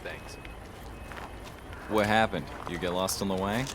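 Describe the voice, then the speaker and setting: A dry-witted detective speaks in a low, unhurried voice with a wry edge. Clear street and traffic noise runs underneath him. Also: male voice, fairly even intonation. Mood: matter-of-fact. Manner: dry, wisecracking. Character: dry-witted male police detective partner. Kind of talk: audio drama dialogue.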